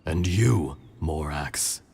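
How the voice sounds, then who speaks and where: An actor playing an ancient, solemn earth dragon in a video game, speaking gravely in formal, archaic phrases. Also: male voice, very deep voice, expressive, drawn-out words, noisy background, full character voice. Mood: grave, fatalistic. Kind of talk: scripted video game voice acting. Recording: game music behind the voice. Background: music.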